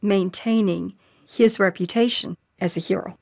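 A woman's voice: mid-range voice, steady tone, a little slow, creaky, a little mellow, no echo, studio quality. A woman speaking as an interviewed expert, giving commentary for a documentary.

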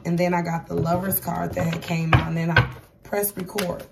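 A hoarse, raspy woman giving a casual tarot reading at a table, chatty and repeating "okay?" to her viewers. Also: lowish voice, little pitch movement, normal speed, far from the mic, heavy background noise. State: hoarse, voice going for days. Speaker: tarot reader. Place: indoors at a table with cards and crystals. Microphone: camera across the table.

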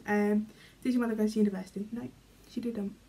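A young British woman vlogging from her bedroom, reading questions aloud and answering in a chatty, rambling way, often trailing off quietly. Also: medium pitch, steady tone, fast talker. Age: young adult. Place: small bedroom, indoors. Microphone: camera in front, medium framing.

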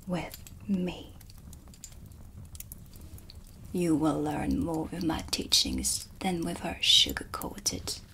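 A woman playing a calm, mysterious dark witch with dry, teasing menace, in a hushed, close-miked ASMR roleplay. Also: fairly low pitch, a little hiss or hum, heavily acted. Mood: dryly teasing. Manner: slow, deliberate, hushed, dryly teasing. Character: dark witch, female, mysterious, sardonic, calm teacher of shadow magic. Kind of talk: soft-spoken whispered ASMR roleplay. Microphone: close studio mic for ASMR.

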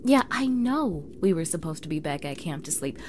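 A woman's voice: deep voice, very animated, moderate pace, darkish tone, a little hiss or hum. A young woman playing a bossy, snippy mean girl in an acted audio roleplay, exasperated and sarcastic, speaking straight to the listener. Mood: exasperated, flustered.

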